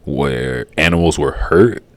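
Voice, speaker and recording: A man's voice: a bass voice, slow. A man whose first language is Haitian Creole, giving casual, profane, rambling commentary. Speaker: vlogger.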